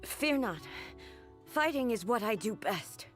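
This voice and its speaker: Solemn warrior ruler over music. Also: female voice, fairly high pitch, sing-song. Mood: resolute. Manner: formal, measured, composed. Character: dignified, solemn warrior woman ruler, stoic and formal. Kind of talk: English video game voice acting. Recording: game music behind the voice. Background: game music.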